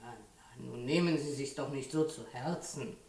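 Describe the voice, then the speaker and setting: An actor playing a nosy, grumbling neighbour with a blunt, familiar manner in a 1960s German radio play. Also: male voice, mid-range voice, moderate pace, gravelly, darkish tone, lightly acted. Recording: echoey old radio recording, slightly distant.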